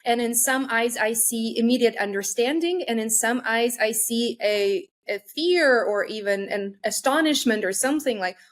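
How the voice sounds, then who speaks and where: A woman from Russia with a fairly high voice speaks as an interview guest. She chooses her words carefully and answers in a measured, articulate way. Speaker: guest, intercultural communication expert.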